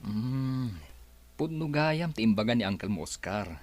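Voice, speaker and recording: A man's voice: mid-range voice, animated, a little slow, darkish tone, lightly acted. An actor playing a shy, earnest handyman who is courting, in a radio drama, with a hesitant manner and a Filipino accent. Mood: bashful.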